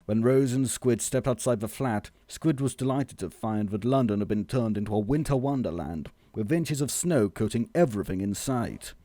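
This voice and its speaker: A man reading a scripted comic story as narrator, measured and deadpan. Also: medium pitch, dry recording.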